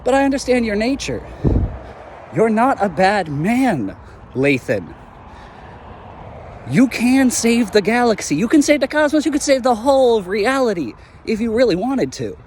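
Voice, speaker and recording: A young man voicing an earnest former hero in an audio drama, talking in a reflective, halting way. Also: extremely high pitch, sing-song, darkish tone, a little hiss or hum. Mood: reproachful.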